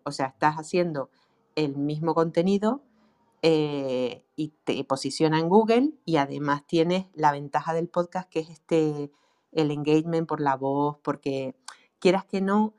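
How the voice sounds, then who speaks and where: A woman with a strong Canarian accent and a low-pitched voice. She talks in a relaxed, conversational way, full of fillers and restarts, and laughs easily. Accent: Canarian Spanish. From Canary Islands. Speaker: guest expert on online marketing for writers. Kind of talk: casual conversational talk.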